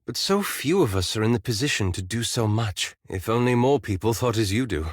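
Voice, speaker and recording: An actor voicing an earnest, scholarly male wizard in a video game, speaking in a formal, measured and thoughtful way with some hesitancy. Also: fairly low pitch, animated, no room sound, clean studio sound. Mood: thoughtful, uncertain. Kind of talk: video game voice acting, dialogue lines.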